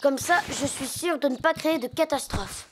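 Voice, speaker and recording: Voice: sits high, moderate pace, a little hiss or hum, close to an everyday voice. An actor voicing a cautious, accident-prone young cartoon boy who talks hesitantly, with children's music behind. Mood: glum. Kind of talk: cartoon voice acting.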